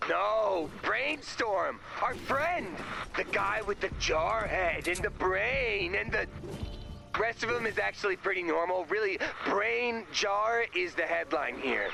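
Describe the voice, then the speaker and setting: An actor playing an excitable, whiny, earnest and slightly dim male sidekick in a video game. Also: high voice, takes it slowly, vocal fry, exaggerated voice. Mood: excited. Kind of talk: animated video game voice acting. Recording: loud music over the dialogue.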